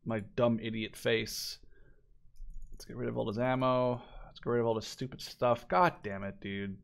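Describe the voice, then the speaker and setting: A man in his twenties giving casual, rambling gameplay commentary, often breaking off mid-sentence and swearing. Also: medium pitch. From Canada or the Netherlands. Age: 20s. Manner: rambling, self-interrupting, sweary. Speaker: gaming video creator.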